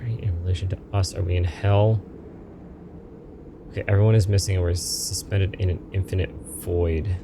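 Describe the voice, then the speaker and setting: A man's voice: sits low, flat delivery, moderate pace, noisy background. A man playing a video game on stream, reading the story text aloud and adding casual asides.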